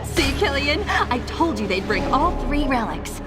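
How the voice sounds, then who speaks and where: A woman's voice: high-pitched, very animated, measured pace. A woman playing a sadistic, childlike game villainess who taunts with mock sweetness. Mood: gleefully cruel. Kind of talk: video game villain acting. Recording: loud music under the voice.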